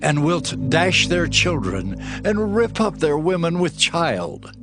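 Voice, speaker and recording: An actor playing a solemn, authoritative Hebrew prophet, declaiming weightily in a scripture drama. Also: male voice, a little high, big pitch swings, slow pace, music in the background, exaggerated voice. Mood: anguished, foreboding.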